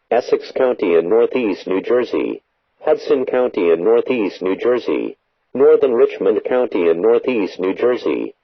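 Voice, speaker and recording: Muffled, husky male emergency alert voice. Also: medium pitch. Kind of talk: scripted weather warning broadcast. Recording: muffled, echoey lo-fi radio broadcast.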